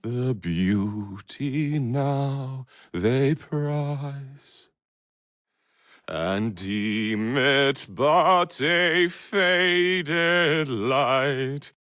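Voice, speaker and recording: An actor playing a wistful reciter of sorrowful old verse, solemn and measured, with long pauses, in a video game. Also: male voice, medium pitch, a bit bright, no room sound, studio quality. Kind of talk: slow dramatic verse recitation.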